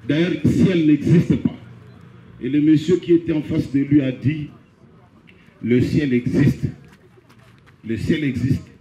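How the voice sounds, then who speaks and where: A middle-aged Congolese pastor preaching a funeral sermon, telling a parable and lifting his pitch on key phrases. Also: dark tone, reverberant, lo-fi sound. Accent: Congolese French.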